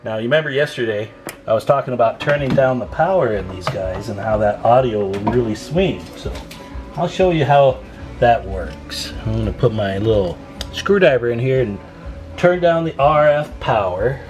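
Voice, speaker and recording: A man's voice: medium pitch, expressive, moderate pace, a little mellow. An American man with a mid-range voice talks through a hands-on repair demo. He is informal and folksy, speaking straight to his viewers as he works. Faint music or tones sit behind his voice. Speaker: radio repair hobbyist and video host. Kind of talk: casual hands-on demonstration vlog.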